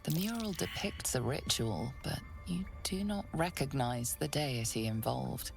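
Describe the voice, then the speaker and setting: A mysterious game narrator with an extremely low, creaky voice. Her delivery is slow, grave and hushed, like measured storytelling. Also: lively intonation, soft background music, exaggerated voice. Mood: hushed mystery.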